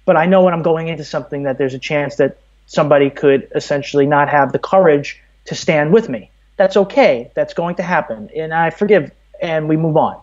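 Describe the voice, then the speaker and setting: A man's voice: on the high side, fairly quick, a little mellow, highs cut off. A man in his thirties from Brooklyn with an American accent, speaking earnestly and emphatically as a podcast guest in a rambling, opinionated way. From Brooklyn, New York, USA. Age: 30s. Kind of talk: conversational interview, opinionated.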